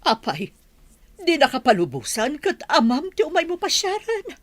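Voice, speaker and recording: A woman playing a worried older mother in a radio drama, husky, with an Ilocano accent. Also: sits high, wildly swooping pitch, normal speed, no echo. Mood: concerned.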